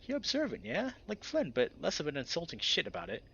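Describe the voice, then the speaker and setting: A man voicing a young male wolf from a visual novel, an earnest, rough-edged friend who talks in emphatic slang. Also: on the high side, huge pitch swings, fast talker, a bit bright, slight background noise, voice pushed up, heavily acted. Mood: admiring. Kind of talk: visual novel character read-aloud. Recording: slightly dull recording with background music.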